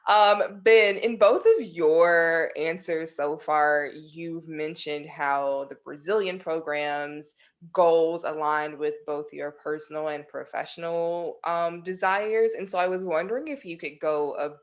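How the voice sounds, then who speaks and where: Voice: mid-range voice, a little slow, dull audio. A moderator asking panelists follow-up questions in an upbeat, friendly way.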